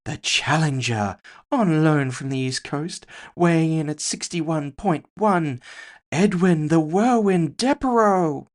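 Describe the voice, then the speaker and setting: A man voicing a female kitsune fight announcer in cyberpunk audio fiction, showy and rousing, with booming, drawn-out ring calls. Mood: rousing showmanship.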